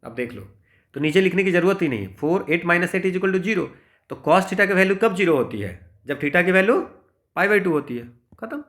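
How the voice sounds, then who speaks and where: A man with an Indian accent and a fairly high-pitched voice gives an informal maths lesson. He works through each problem step by step, explaining as he goes and often adding little tags to check that the listener follows. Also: lively intonation, normal speed, fairly bright tone. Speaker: maths teacher.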